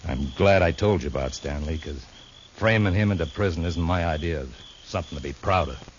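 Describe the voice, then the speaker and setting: An American actor playing a surly cowhand henchman in a 1950s radio western, talking in a gruff, grudging, folksy way. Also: rumbling low, fairly quick. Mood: grudging, confessing. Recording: dull, noisy old radio recording.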